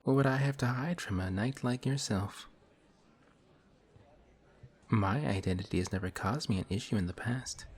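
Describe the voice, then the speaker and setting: An actor playing a courteous, formal villain who is sly and quietly taunting, in a one-sided audio roleplay. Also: male voice, fairly low pitch, normal speed, long pauses, slightly rough. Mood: sly menacing. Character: suave, polite male villain in civilian clothes. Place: coffee shop scene with faint café chatter.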